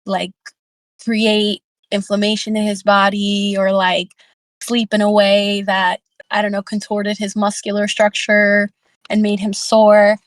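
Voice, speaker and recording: Female discussion participant, reflective and tentative, even-toned, dry recording. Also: mid-range voice, a little slow, no room sound. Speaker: book club discussion participant and facilitator. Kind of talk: casual reflective group discussion.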